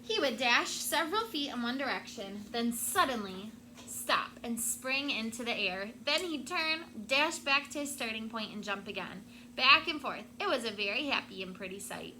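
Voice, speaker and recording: A woman teacher reading a picture book aloud to her class with expressive storytelling, stopping now and then to explain a word. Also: a little high, moderate pace, slight background noise, poor audio.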